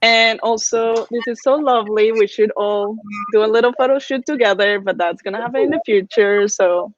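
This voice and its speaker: A woman's voice: on the high side, moderate pace, dry recording, highs cut off. A woman from Colombia, a guest artist speaking thoughtfully in a casual video-call interview.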